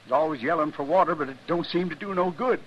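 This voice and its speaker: A man's voice: fairly high pitch, quick pace, natural voice. A man playing an anxious, pleading frontier homesteader in an old radio western, with an American accent and an earnest, rustic manner. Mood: frightened, pleading. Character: anxious, pleading male homesteader, a frightened father. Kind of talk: 1950s radio western acting. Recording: dull, hissy old radio recording.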